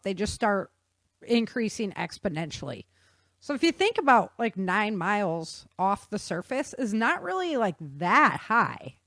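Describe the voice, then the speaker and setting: A woman's voice: mid-range voice, fairly bright tone, dry recording, clean studio sound. A woman hosting a podcast, explaining things casually in an informal, nerdy-enthusiast way. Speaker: podcast host.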